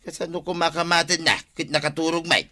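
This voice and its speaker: Actor as a blunt Filipino watchman, bright higher-pitched voice. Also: steady tone, a little fast. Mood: indignant. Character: exasperated, blunt village night-watch partner. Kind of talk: Filipino radio drama acting.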